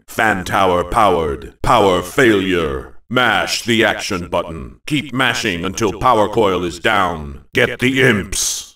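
An actor playing a bold, dramatic video game announcer, making theatrical, punchy calls. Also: male voice, fairly low pitch, sharp tone, echoey room, recorded from a distance, full character voice. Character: booming male arcade-style game announcer, bold and dramatic.

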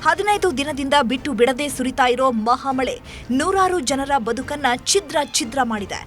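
Woman, high voice, emphatic scripted news voice-over, music underneath. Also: expressive, normal speed, a bit bright. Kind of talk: scripted dramatic news narration. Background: music bed.

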